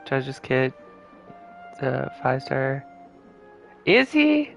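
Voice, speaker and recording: A man giving casual gameplay commentary as a streamer, chatty and thinking out loud. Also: mid-range voice, sing-song, extremely slow, creaky, highs cut off, soft background music. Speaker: game streamer.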